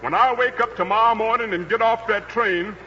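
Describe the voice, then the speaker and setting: A man's voice: high-pitched, fairly quick, pushed and bright. An American man playing a cocky, bossy prizefighter in 1940s radio drama, blunt, slangy and commanding. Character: cocky, bossy prizefighter, big star of the ring. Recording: muffled 1940s radio broadcast with music.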